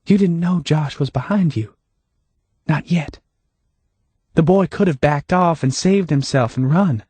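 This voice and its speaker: A man voicing a woman who speaks in a soft, measured, knowing way, calmly accusing, with a slightly husky voice. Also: on the high side, dry recording, pitched above the performer's own voice. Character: calm, pitying woman, quietly accusing.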